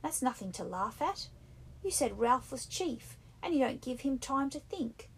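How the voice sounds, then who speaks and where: A woman's voice: high voice, lively intonation, unhurried, pitched above the performer's own voice, full character voice, low-quality recording. A woman doing a fussy, indignant schoolboy. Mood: scolding. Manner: indignant, breathless, nagging, with a rough country-boy grammar. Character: fussy, anxious plump schoolboy scolding his peers. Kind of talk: audiobook character reading.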